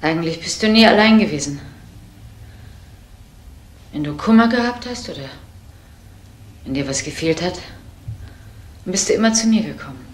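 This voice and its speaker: An actress playing a protective, controlling woman in a crime radio play, speaking in a gently firm, motherly way. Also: fairly low pitch, animated, normal speed, pauses often, reverberant, a little hiss or hum, natural voice. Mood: tender, sombre. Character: protective, controlling woman caring for troubled young man.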